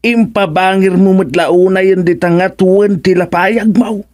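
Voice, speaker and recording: A man playing a jovial, teasing father in an Ilocano radio comedy, with an Ilocano accent and drawn-out exclamations. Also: high-pitched, steady tone, darkish tone, no room sound, studio quality.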